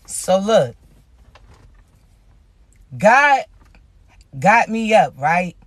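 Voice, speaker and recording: A man with a loud, raspy voice giving a casual spiritual message to his viewers in an emphatic, slow, preaching style. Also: high-pitched, big pitch swings, no room sound.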